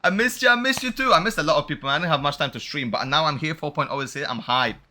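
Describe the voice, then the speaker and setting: A man's voice: on the high side, fast talker, pushed and bright. A young man doing casual livestream game commentary, upbeat and slangy as he chats with his viewers. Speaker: game streamer. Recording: music playing behind the voice.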